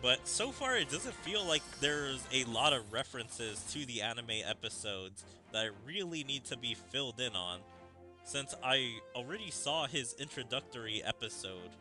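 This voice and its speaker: A man's voice: medium pitch, big pitch swings, moderate pace, pushed and bright, faint music behind. A man giving casual commentary as he streams a story game. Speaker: gaming streamer. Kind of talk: casual game commentary and read-aloud of dialogue.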